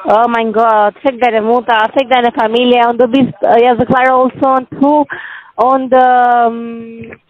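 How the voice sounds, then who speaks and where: Female caller, very slow, rude, muffled line. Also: fairly high pitch, level tone. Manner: slow, insulting, combative. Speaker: call center agent.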